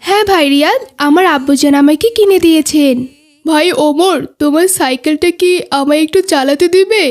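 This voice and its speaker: A woman's voice: extremely high pitch, darkish tone. A woman voicing an earnest, chatty young boy in a children's cartoon, with a declamatory scripted delivery. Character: earnest young boys, pious and chatty playmates.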